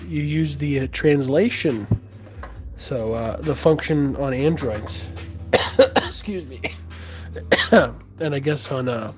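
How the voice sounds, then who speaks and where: A man with a raspy, hoarse voice after being sick, chatting casually on a livestream with halting speech and many fillers while he answers viewers. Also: fairly high pitch. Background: music playing softly.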